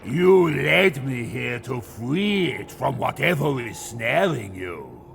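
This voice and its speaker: An actor playing a proud, defiant warlord devoted to a plague god in a video game, declaiming in a grandiose, deliberate way with a gritty voice. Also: male voice, medium pitch, sing-song, creaky, fairly bright tone, soft background music, full character voice. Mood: triumphant, demanding. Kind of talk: video game cutscene acting. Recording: game dialogue over musical score.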